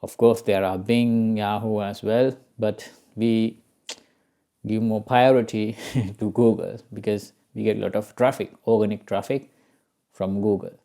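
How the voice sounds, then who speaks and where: A man's voice: lowish voice, fairly even intonation, unhurried, vocal fry, dark tone. A man with a Sri Lankan accent presenting an informal step-by-step tutorial, often checking in with the listener. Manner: frequently checks in with "right?" and "okay?". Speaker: SEO tutorial host.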